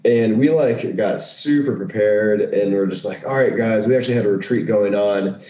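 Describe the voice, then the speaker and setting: A man being interviewed as a guest, telling stories in an animated way, full of fillers like "like" and "um". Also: lowish voice, moderate pace, roomy sound, dull audio. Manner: animated, filler-heavy storytelling. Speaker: retreat founder, guest. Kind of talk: casual interview chat.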